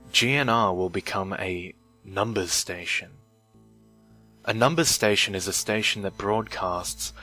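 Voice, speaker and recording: A man narrating a scripted horror story in a measured, deliberate way with pauses, recorded on an old microphone with some odd noise. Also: sits low, fairly bright tone. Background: background music.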